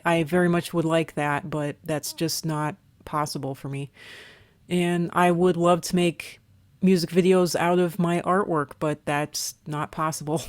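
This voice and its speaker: Soft-spoken woman with a rough, recovering voice. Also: on the low side, dry recording. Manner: rambling, hesitant, with fillers and restarts. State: voice recovering, still rough and weak. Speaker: artist vlogger. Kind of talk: casual voiceover narration.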